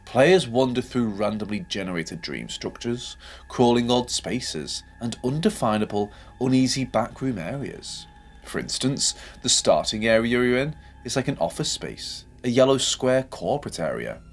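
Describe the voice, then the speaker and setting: A man's voice: mid-range voice, sing-song, moderate pace. A man narrating a scripted horror game video essay with a dramatic, storytelling delivery, posing rhetorical questions. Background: background music.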